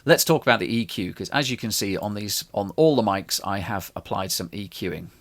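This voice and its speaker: Gravelly man explaining a home mix. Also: lowish voice, a little fast. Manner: loose, rambling explanation with frequent restarts and fillers. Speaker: home studio musician explaining his mix. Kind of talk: casual tutorial talk. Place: small home studio.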